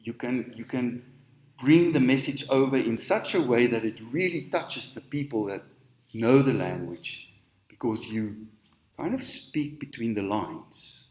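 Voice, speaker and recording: A man's voice: mid-range voice, expressive, a little mellow, roomy sound. Earnest Afrikaans-speaking man preaching. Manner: earnest, slow and emphatic. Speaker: spiritual teacher. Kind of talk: sermon. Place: on stage in a hall.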